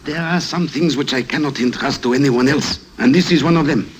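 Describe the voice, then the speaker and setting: An actor playing a dutiful young police commissioner in an old radio drama. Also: male voice, fairly high pitch, a little fast, a little hiss or hum, close to an everyday voice. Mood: earnest. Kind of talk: 1950s radio drama acting.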